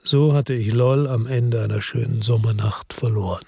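An actor playing a world-weary police chief, narrating in a slow, measured, tired way in a radio drama. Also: male voice, medium pitch, vocal fry, mellow, breathy edge, dry recording. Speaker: first-person narrator.

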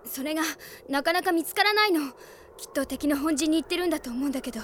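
A woman's voice: high-pitched, fast talker, a bit bright, slight background noise. An actress playing a dutiful, worried young woman knight with an earnest, girlish delivery in a radio drama. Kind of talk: Japanese radio drama acting.

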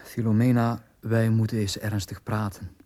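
Casting: male actor for proud, cultured dwarf lord, husky voice, articulate and theatrical radio-play delivery. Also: lowish voice, flat delivery, normal speed, dark tone, lightly acted. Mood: grave. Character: Hercules, proud cultured dwarf nobleman and father. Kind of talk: 1980s Flemish radio drama acting.

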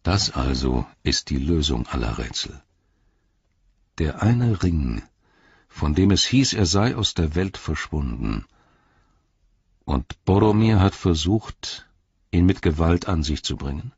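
A man voicing a grave, stern captain of men who speaks slowly, quietly and formally in a storybook reading. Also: rumbling low, big pitch swings, creaky, breathy edge, exaggerated voice. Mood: ominous, ironic. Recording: slightly dull, roomy sound.

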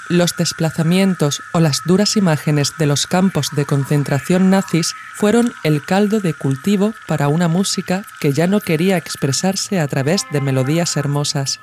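Female podcast narrator, measured and reflective scripted reading, low-pitched for a woman, faint music underneath. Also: darkish tone. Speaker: podcast host and essayist.

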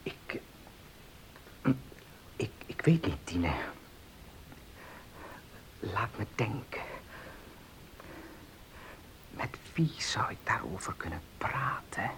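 An actor playing a courteous, eager, helpful gentleman in a Dutch radio play, formal and given to stammering repeats. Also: on the low side, very animated, drawn-out words, pauses often, in a whisper, exaggerated voice, low-quality recording. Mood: pensive.

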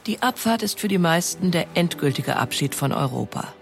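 A woman with a creaky, gravelly edge gives a documentary account. She explains in a measured way, with faint music playing behind her. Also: lowish voice, animated. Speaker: expert commentator.

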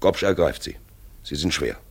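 An actor playing a grave, urgent narrator in a 1950s radio drama, delivering short dramatic phrases. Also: male voice, rumbling low, lively intonation, normal speed, gravelly, breathy edge, exaggerated voice. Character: grave, urgent narrator of a looming railway disaster.

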